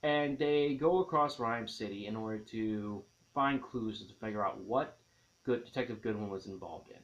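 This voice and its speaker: A man's voice: fairly low pitch, moderate pace. A man hosting an enthusiastic movie review, leaning on key words with dramatic, emphatic stresses. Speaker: film reviewer and host. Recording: dull, lo-fi sound.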